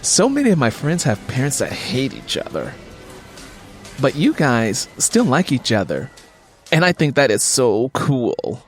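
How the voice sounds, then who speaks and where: A man's voice: medium pitch, huge pitch swings, unhurried, faint music behind. A man voicing an eager, affectionate young daughter in an audiobook story. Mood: earnest, pleading.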